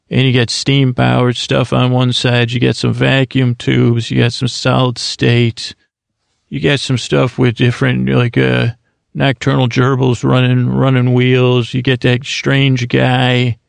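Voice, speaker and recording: A man's voice: mid-range voice, creaky, no echo. A man hosting a podcast, rambling through a goofy improvised monologue with many restarts and asides. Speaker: podcast host.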